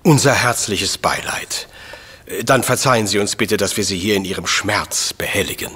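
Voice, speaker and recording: A man's voice: on the low side, moderate pace, sharp tone, breathy edge. A man playing a shrewd, coolly courteous professor detective in a German radio drama, speaking precisely and formally. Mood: polite, probing.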